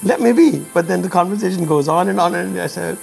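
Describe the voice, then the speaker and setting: A man from Pakistan, a native Urdu speaker, chatting casually, giving frequent backchannels and climbing high in pitch when excited. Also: fast, creaky, soft background music. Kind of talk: casual conversation.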